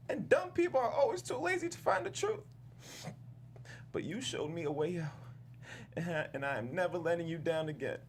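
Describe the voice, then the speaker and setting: Casting: man as a heartfelt, slangy male bug for a comedy read; higher-pitched, swinging widely, some background hum. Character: emotional, loyal, streetwise male insect, grieving his friend. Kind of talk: comedic table read acting.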